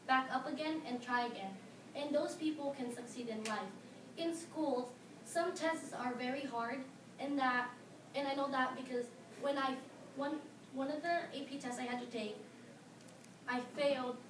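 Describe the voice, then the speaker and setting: Filipino-accented teen girl, high voice, earnest prepared speech with fillers, in an echoey, noisy room some way from the mic. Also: far from the mic. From Philippines. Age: teens. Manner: earnest, halting with fillers, stressing key words. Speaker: high school student speaker.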